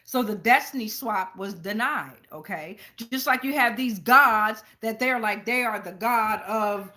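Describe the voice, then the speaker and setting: A woman's voice: on the high side, a little slow, sharp tone. An American woman giving a casual live tarot reading in a slangy, profane, rambling way. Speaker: tarot reader, channel host.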